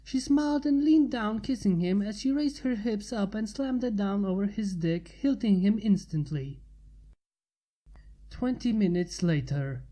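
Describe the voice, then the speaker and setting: A woman narrates a piece of fan fiction, reading it aloud as a story. Her voice is dark and mellow, at a middling pitch for a woman.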